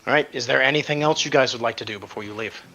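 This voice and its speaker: A man's voice: mid-range voice, very fast, pushed and bright. A man acting as game master, casually narrating and chatting through a tabletop game. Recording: slightly dull audio over music. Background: music.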